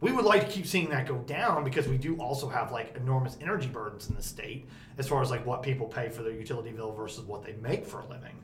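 A man hosting a podcast about energy policy, talking casually with a loud, projecting voice and plenty of emphasis. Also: medium pitch, fast talker. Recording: roomy echo, faint background noise.

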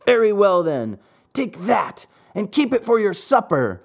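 A man's voice: sits high, sing-song, a little slow, voice pushed up, full character voice. A man voicing sneering, bullying schoolboys in a storybook, jeering and scolding. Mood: threatening. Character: gang of sneering, bullying schoolboys, threatening and teasing.

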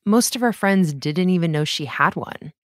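A woman's voice: fairly low pitch, expressive, normal speed, no echo, clean studio sound. A woman hosting, reading scripted narration for a news podcast. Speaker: podcast host.

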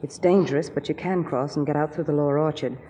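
A guarded, unhappy young lady of the manor played by an actress in an old radio play. Her voice is lowish, composed and clipped, with faint noise on the vintage recording. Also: fast, mellow, natural voice, low-quality recording. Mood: evasive. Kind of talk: 1940s radio drama acting.

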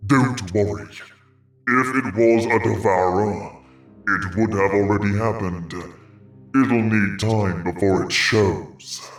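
Gruff demon guard, performed by a male narrator, horror audio story. Clinical and irritable, very slow delivery, echo-laden sound. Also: fairly low pitch, far from the mic, pitched below the performer's own voice. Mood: dismissive. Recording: echoey voice over background music.

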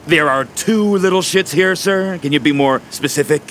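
Man playing touchy bossy cop, highish forceful voice, blunt wisecracks, audio drama, faint vehicle noise. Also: moderate pace, natural voice. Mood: irritated. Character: touchy, bossy Italian male police officer. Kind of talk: scripted audio drama acting.

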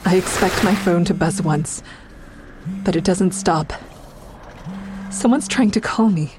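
A woman's voice: on the low side, a little slow, close to an everyday voice. Actress as tense woman in a suspense drama. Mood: tense narration. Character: frightened woman narrating her own suspenseful ordeal. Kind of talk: dramatic first-person narration and dialogue. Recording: music behind the voice.

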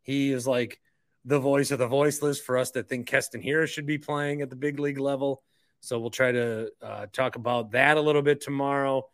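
A man's voice: mid-range voice, steady tone, a little fast, no room sound, studio quality. An American man hosting a podcast, talking in a chatty, emphatic, informal way in a casual solo monologue. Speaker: podcast host and stadium announcer.

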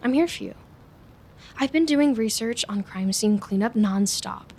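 An actress playing an anxious, overeager young best friend in an audio drama, wordy and flustered, often stammering. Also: female voice, fairly high pitch, expressive, unhurried, a little mellow, slightly rough. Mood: reassuring, eager.

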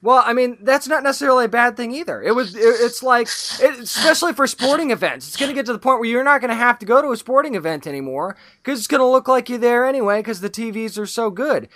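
A man's voice: extremely high pitch, a bit bright. A man co-hosting a casual movie review chat, arguing his side emphatically and swearing casually. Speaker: podcast co-host.

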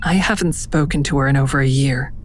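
A woman's voice: very deep voice, a little fast, slight background noise. An actress playing a haunted, wary woman investigator who narrates her own story in an audio drama, terse and confiding. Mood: shaken, wary.

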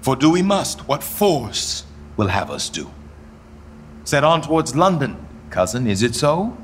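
A man's voice: mid-range voice, very animated, measured pace, a little hiss or hum. A bitter, doomed king. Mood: resigned. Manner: mocking, wry, swings between whispers and outbursts. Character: doomed proud male king, bitter and theatrical. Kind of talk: Shakespeare radio play acting.

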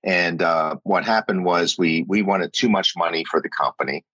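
Man, very low pitch, middle-aged, reflective interview guest, dry close recording. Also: normal speed, a bit bright, no echo, muffled recording. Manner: thoughtful, with many fillers and restarts. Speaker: guest, former company chief and novelist.

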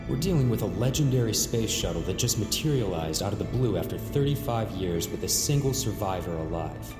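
Brief: actor playing a deadpan male official, low voice, music behind. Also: brisk, big-room sound, lightly acted. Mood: dry. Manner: dry, matter-of-fact. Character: dry, weary male government official.

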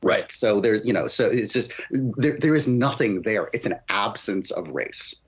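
Deep-voiced, slightly husky man thinking aloud. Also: lively intonation, a little slow, muffled recording. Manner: analytical, thinking aloud, poses rhetorical questions. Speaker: guest poet and critic. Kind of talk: literary discussion on a podcast.

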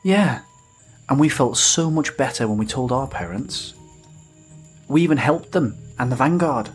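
A man's voice: medium pitch, lively intonation, unhurried, close to an everyday voice. Male, actor playing protective coaxing father, very varied intonation, informal, audio drama, music bed. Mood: coaxing, concerned. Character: protective, coaxing father talking to his young son.

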